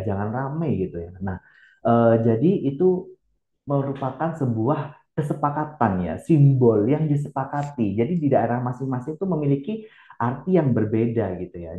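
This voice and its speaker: Echoey phone-quality male lecturer. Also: mid-range voice. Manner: explanatory, many filler pauses. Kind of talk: recorded lecture. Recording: echoey room, phone-like band.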